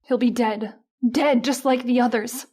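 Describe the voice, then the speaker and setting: A woman's voice: a little high, fairly even intonation, measured pace, a little mellow, slightly rough. An actress playing a frightened, anxious woman in an old-time radio horror mystery.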